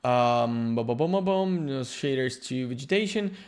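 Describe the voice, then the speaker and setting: A man with a Ukrainian accent giving a live-streamed software lesson as the instructor, halting and restarting as he searches for words. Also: mid-range voice, lively intonation. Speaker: workshop instructor.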